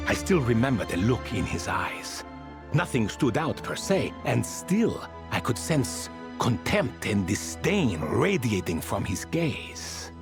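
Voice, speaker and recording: A man's voice: mid-range voice, vocal fry, exaggerated voice. An actor playing an ancient ibis king spirit in a video game, courteous and wistful, with a theatrical, leisurely storytelling manner. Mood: grave, reflective.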